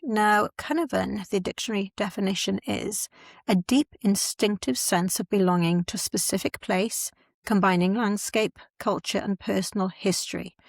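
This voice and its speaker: A woman's voice: medium pitch, creaky, no room sound, clean studio sound. A woman hosting a podcast, explaining words patiently and spelling them out letter by letter. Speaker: podcast host. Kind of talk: podcast narration and interviewing.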